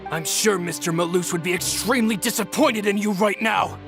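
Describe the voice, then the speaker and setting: A man's voice: high-pitched, brisk, vocal fry, loud music behind the voice. An actor playing a loyal, protective henchman in a video game, urgent and emphatic. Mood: indignant, urgent.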